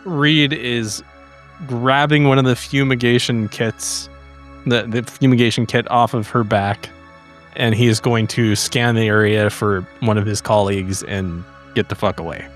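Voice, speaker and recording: A man's voice: medium pitch, steady tone, a little slow, soft background music. A man playing a tabletop game, decisively describing what his character does. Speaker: player.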